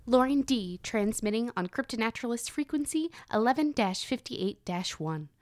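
Actress doing an earnest lady creature researcher sending in a dramatic field report, kinda high voice, no room sound. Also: expressive, a little mellow, dry recording, clean studio sound, close to an everyday voice. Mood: intrigued, suspicious. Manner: earnest, dramatic pauses, awestruck stammers. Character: earnest female crypto-naturalist field researcher reporting strange discoveries.

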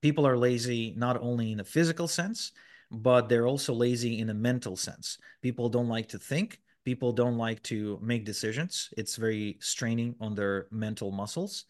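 A man's voice: medium pitch, fairly even intonation, moderate pace. A man answering interview questions in a casual, explanatory way, with frequent fillers and restarts. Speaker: podcast guest.

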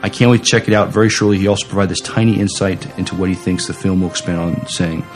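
Deep-voiced man, halting podcast news reader. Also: flat delivery, over music. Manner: halting, repeats words. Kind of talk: informal news reading and chat.

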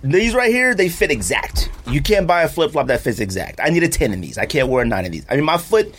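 A man's voice: on the high side, very animated, quick pace, a bit bright, breathy edge. A man in a casual sneaker chat, talking in an emphatic, slangy, opinionated way. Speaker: podcast co-host.

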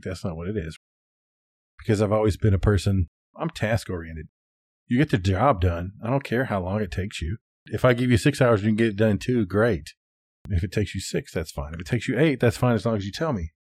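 Husky, lower-voiced man chatting casually. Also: darkish tone, dry recording, studio quality. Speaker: podcast co-host. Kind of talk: casual conversational commentary.

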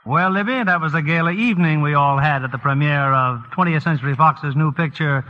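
A man's voice: a little high, brisk. An American man hosting and narrating in a scripted 1940s radio announcer style. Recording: muffled old radio broadcast.

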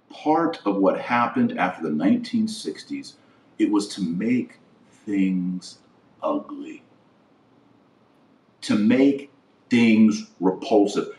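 A middle-aged man with a fairly low voice speaks in a roomy, echoing space, a little away from the mic. He delivers opinion commentary as a podcast host. His phrasing is short and emphatic, repeating words to drive a point home. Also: a little mellow, slight background noise. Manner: emphatic, short declarative phrases with repetition for stress. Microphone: webcam setup. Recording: roomy echo, distant microphone.

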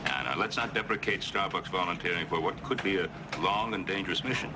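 An actor playing a genial older military commander in a measured, gently indulgent way, in television science fiction drama. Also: male voice, low voice, natural voice. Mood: calm, gently wry. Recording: noisy TV soundtrack with ship ambience.

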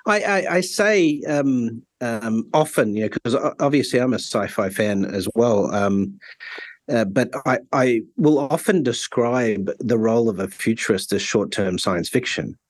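A man co-hosting a podcast while he has a cold, speaking haltingly with many restarts and fillers. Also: mid-range voice, expressive, no echo. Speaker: podcast co-host. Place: Chicago.

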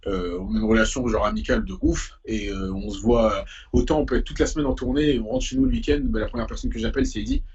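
A man's voice: lowish voice, lively intonation, quick pace, creaky, few pauses, darkish tone, husky, dull audio. A young man from Paris, speaking as an interviewed musician in an informal, slangy, hesitant way. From Paris, France. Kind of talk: casual conversational interview.

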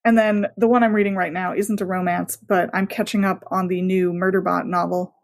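A woman's voice: fairly low pitch, level tone, fairly quick. A woman chatting casually as a podcast guest, effusive and enthusiastic. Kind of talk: casual chat.

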